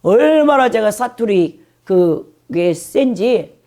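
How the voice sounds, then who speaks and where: A woman's voice: mid-range voice, lively intonation, slow pace, warm tone. A middle-aged woman pastor with a Jeolla accent, delivering an emphatic sermon and often turning to address the congregation directly. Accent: Jeolla Korean. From Jeolla, South Korea.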